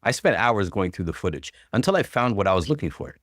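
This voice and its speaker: Animated deep-voiced man narrating a story. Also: quick pace, no echo, studio quality. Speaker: narrator reading stories aloud. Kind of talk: dramatic first-person story narration.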